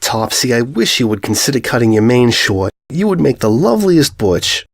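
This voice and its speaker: A man's voice: mid-range voice, lively intonation, moderate pace, creaky, no room sound, clean studio sound. Man voicing a cheerful, swooping pony mother. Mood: teasing, coaxing. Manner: effusive, coaxing, uses endearments. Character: cheerful, teasing middle-aged pony mother, doting and blunt.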